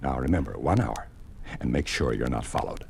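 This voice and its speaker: An actor playing a kindly older clergyman in a 1950s radio drama, speaking in a measured, gentle, fatherly way. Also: extremely low pitch, lively intonation, vocal fry, heavily acted. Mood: warm, solemn. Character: kindly older clergyman posing as a village carpenter. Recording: noisy old 1950s radio recording.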